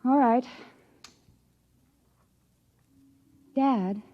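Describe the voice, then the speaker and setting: An actress playing an earnest, questioning young researcher daughter, quietly defiant and hesitant, in an old radio drama. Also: female voice, on the high side, takes it slowly. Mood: wistful, curious. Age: young adult. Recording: dull, lo-fi old radio recording.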